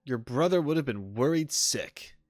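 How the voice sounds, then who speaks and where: Animated man streaming, dry close sound. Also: mid-range voice, moderate pace, dry recording. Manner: animated, wry, joking. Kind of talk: casual video game playthrough commentary.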